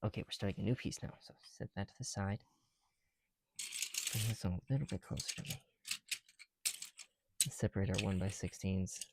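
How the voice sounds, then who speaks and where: A man's voice: sits low, moderate pace, pauses often, background noise. A man making a casual ASMR video, chatting in a self-deprecating way with small asides as they go. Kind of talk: ASMR, casual chat while building bricks. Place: on the bedroom floor at night.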